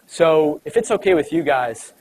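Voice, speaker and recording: A man's voice: a little high, expressive, moderate pace, a little mellow, rough recording. A man, giving a casual, conversational motivational talk to a live audience, with many fillers. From United States. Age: 20s. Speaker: speaker at an event.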